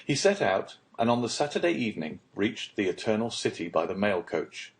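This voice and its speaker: Male audiobook narrator, lowish pitch, formal, measured, scripted literary storytelling. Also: sing-song.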